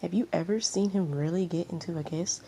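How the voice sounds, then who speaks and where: A woman's voice: on the low side, brisk, vocal fry, dark tone. A woman voicing a smug, spiteful, flirty actress who spreads rumors, over faint background noise. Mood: smug, insistent.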